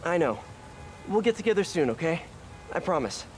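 High-voiced young wisecracking American superhero. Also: male voice, big pitch swings, moderate pace, noisy background. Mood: earnest. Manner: jokey, self-deprecating chatter. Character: young wisecracking male superhero, earnest and quippy. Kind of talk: video game voice acting.

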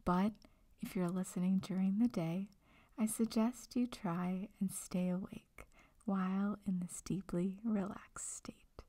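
A woman leading a guided meditation in a soft, breathy, near-whispered voice, calm and gentle, leaving long pauses. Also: medium pitch. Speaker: meditation guide, breakup coach. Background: music.

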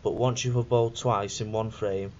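A man's voice: on the low side, fairly even intonation, normal speed, darkish tone. A young man reading scripted narration for a student documentary in a plain, instructional way. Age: young adult. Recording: dull lo-fi voice-over with faint noise.

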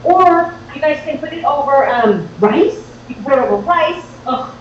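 A woman in her thirties chatting excitably and informally as she hosts a live cooking demonstration. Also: sits high, moderate pace. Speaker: host of a live cooking show. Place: home-style kitchen, indoors. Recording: very echoey, distant, dull, faint noise.

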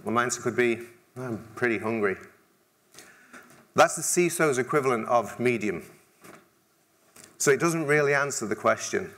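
A man's voice: medium pitch, moderate pace, long pauses, fairly bright tone. A middle-aged man with an English accent giving a keynote talk on a large conference stage, conversational, with dry humor and frequent restarts. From England. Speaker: conference speaker.

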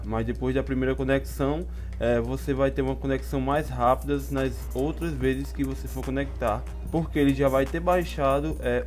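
A man's voice: medium pitch, darkish tone. A man with a Brazilian accent narrating a game review as a video host, explaining point by point. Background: music under the voice.

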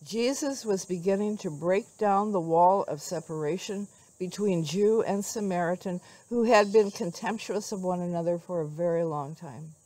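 An older woman giving a devotional talk and scripture reading in a measured, reflective way. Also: fairly low pitch, mellow, dry recording, low-quality recording. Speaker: devotional speaker. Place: outdoors among trees.

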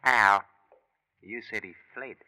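An actor playing a gruff, greedy jailed husband who is slow to catch on, in a 1950s radio drama. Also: male voice, on the low side, steady tone, bright, forceful tone, full character voice, rough recording. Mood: doubtful.

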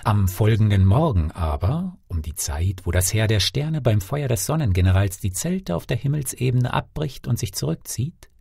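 A man reading a fairy tale as an audiobook narrator, expressive and theatrical, with deliberate phrasing. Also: fairly low pitch, vocal fry, husky, dry recording, clean studio sound. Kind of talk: expressive scripted audiobook storytelling.

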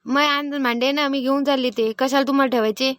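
Woman playing defiant daughter-in-law, high voice, dry sound, stubborn and argumentative, rural Maharashtrian accent, cartoon family comedy. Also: fairly even intonation, fast, fairly bright tone, dry recording. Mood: demanding. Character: stubborn, fed-up village daughter-in-law, married fifteen years. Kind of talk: animated family comedy acting.

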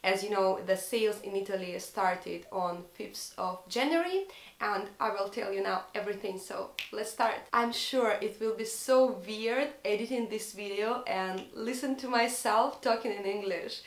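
A woman's voice: mid-range voice, moderate pace, reverberant. Young Russian-accented woman chatting. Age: young adult. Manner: lively, earnest, searching for English words. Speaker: fashion vlogger. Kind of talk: chatty haul video. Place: bedroom, indoors.

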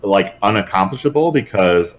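A man's voice: on the low side, animated, normal speed, crowd noise. A man talking casually as a player in a tabletop game. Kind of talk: casual chat.

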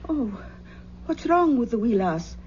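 An older aunt in a radio drama, fretful and caring. Her voice is soft-edged and dark, with a hesitant manner. The old recording sounds muffled. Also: a little high, big pitch swings, measured pace, slight background noise. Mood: kindly, concerned. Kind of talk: 1970s radio drama acting. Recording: dull 1970s radio recording.

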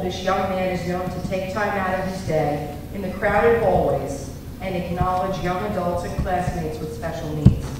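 A woman school board member reading a prepared award citation and statement aloud into a handheld mic at a public board meeting. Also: on the low side. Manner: measured, formal reading. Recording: echoey hall, distant mic, noisy.

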